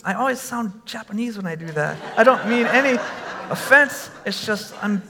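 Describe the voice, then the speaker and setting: A man preaching a sermon to a congregation, conversational with fillers, and breaking into animated shouts when he exclaims. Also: sits high, moderate pace, creaky, background noise. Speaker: pastor.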